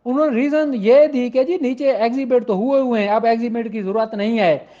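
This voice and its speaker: Male law teacher, notably high voice, informal and insistent explainer who restates each point, dull low-fidelity sound. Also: steady tone, fairly quick, warm tone. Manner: emphatic, repeats points for clarity. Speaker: law lecturer. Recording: dull, lo-fi audio.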